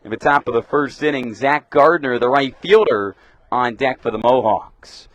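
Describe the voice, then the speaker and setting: A man's voice: medium pitch, moderate pace, fairly bright tone, no echo. Young man calling baseball play-by-play. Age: young adult. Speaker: radio play-by-play baseball announcer. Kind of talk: live baseball play-by-play commentary.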